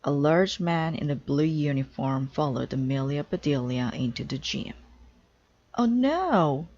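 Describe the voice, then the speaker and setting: A woman's voice: rumbling low, flat delivery, moderate pace, a little mellow, dull audio. A woman narrating a children's storybook aloud as a reader.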